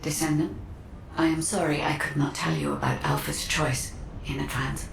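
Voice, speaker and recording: Low cryptic game guide lady, solemn and regretful, echoey and far off. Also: very animated, normal speed, vocal fry, heavily acted. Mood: solemn, apologetic. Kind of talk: video game story voice acting. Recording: echoey, distant, faint rumbling noise.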